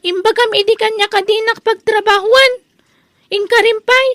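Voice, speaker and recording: A woman's voice: very high-pitched, fairly even intonation, moderate pace, a bit bright, no echo, clean studio sound. A woman playing an insolent, lazy young woman who whines about chores in an Ilocano comedy drama. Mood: whiny, defiant.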